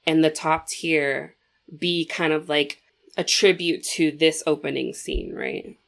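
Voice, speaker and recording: A woman's voice: low voice, steady tone, slow pace, creaky. A young woman hosting a casual movie commentary, chatty and opinionated, talking straight to viewers while sniffly from a cold.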